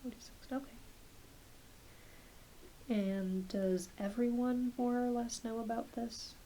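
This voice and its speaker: Soft-spoken, whispering woman over audible hiss. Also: a little high, fairly even intonation, takes it slowly, low-quality recording. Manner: gentle, reassuring, hesitant questioning. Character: kindly, attentive doctor examining a patient. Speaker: ASMR roleplayer playing a doctor. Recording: hissy close home recording.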